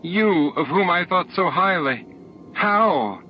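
A man's voice: medium pitch, normal speed, soft background music, pitched above the performer's own voice, lightly acted. A man voicing an emotional, accusing female alien in an audiobook. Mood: accusing fury. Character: anguished, furious female alien with a shell. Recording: dull lo-fi audiobook tape recording.

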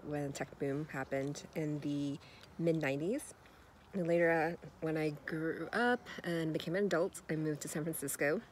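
A woman's voice: low voice, darkish tone. A woman in her thirties chatting casually in a vlog, filmed on a phone held at arm's length outdoors and in a car. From United States. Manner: chatty, self-correcting, recorded at arm's length while walking and riding. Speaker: vlogger. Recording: noisy phone recording outdoors.

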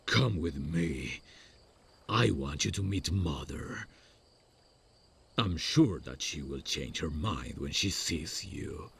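A man voicing a lovesick, childlike, awkward slasher killer in a horror-parody ASMR roleplay, halting and shy in a gravelly, husky low voice. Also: lively intonation, fairly bright tone, a little hiss or hum, heavily acted. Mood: shy, smitten. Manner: halting, giggly, shy, slow. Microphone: close dry microphone.

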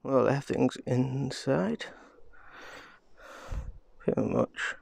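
A man in his thirties mutters through a casual vlog, recorded on a handheld phone outdoors among pine trees. His speech is slow and unhurried, with a gravelly, husky edge. Now and then he swears quietly under his breath. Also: medium pitch, no echo. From Scotland. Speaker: vlogger. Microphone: handheld phone camera.

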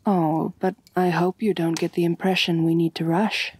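A low, husky vampire noblewoman, played by a woman, purring slow endearments in an intimate ASMR roleplay. She is elegant and doting, with a gently commanding, calculating streak. The sound is close and dry. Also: flat delivery, no room sound. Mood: reassuring, poised. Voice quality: soft, breathy, husky. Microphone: close, dry microphone.

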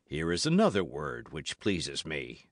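A man voicing a proud, gracious, wryly world-weary musketeer in formal, measured speech for a storybook. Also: very deep voice, wildly swooping pitch, no echo, studio quality, pitched below the performer's own voice, full character voice. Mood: gracious, dry.

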